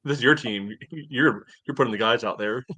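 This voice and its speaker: A man's voice: medium pitch, moderate pace, bright, forceful tone, no echo, rough recording. A man co-hosting a soccer podcast in casual banter, with an opinionated, teasing way of talking. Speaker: podcast co-host.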